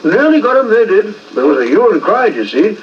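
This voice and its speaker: Bluff police inspector, muffled old radio. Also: male voice, high-pitched, very animated, fairly quick. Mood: matter-of-fact. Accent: English. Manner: clipped, matter-of-fact, hearty. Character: brisk, bluff, affable Scotland Yard police inspector. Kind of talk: 1950s radio drama acting. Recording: muffled, hissy 1950s radio recording, distant.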